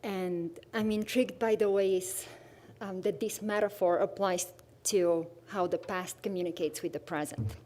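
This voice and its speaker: A woman from Bulgaria speaks English with a clear Bulgarian accent. Her voice is mellow and warm, and her delivery is unhurried. She is thoughtful and soft-spoken as she presents her art. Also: lowish voice, steady tone. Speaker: artist presenting her work. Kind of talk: livestream artist talk.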